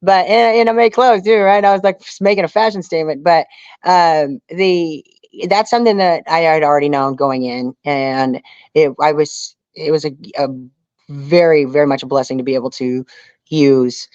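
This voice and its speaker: A woman's voice: low voice, steady tone, normal speed, a little mellow, no echo. A woman speaking earnestly as an interview guest, telling her story casually and often saying "you know". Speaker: interview guest, reality survival show contestant. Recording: dull lo-fi audio.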